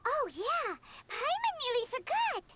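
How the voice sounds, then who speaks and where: A woman voicing a tiny, childlike fairy companion in a video game, squeaky, chirpy, inquisitive and outspoken. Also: sing-song, a little slow, background noise, full character voice. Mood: chirpy, curious. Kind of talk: scripted video game dialogue.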